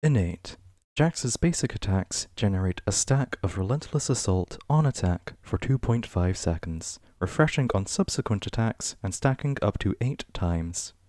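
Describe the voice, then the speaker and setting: A man with a fairly low voice reads written entries as ASMR, very slowly and with deliberate pauses. His pitch rises and falls noticeably from item to item, and the recording is close and dry. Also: lively intonation, a little mellow, no room sound. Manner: measured, list-like reading with pauses. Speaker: ASMR reader. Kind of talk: ASMR reading of a game wiki page.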